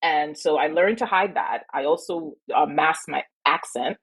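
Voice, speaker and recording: A woman speaks as a podcast guest. Her voice sits a little low and has a husky grain. She tells reflective stories with easy laughter. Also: a little slow, poor audio. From Trinidad. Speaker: attorney guest. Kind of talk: podcast interview.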